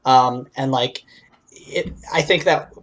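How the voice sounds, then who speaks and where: A man's voice: medium pitch, slow pace, a bit bright, poor audio. A man co-hosting a podcast, giving informal, discursive commentary full of asides in a conversational way. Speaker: podcast co-host.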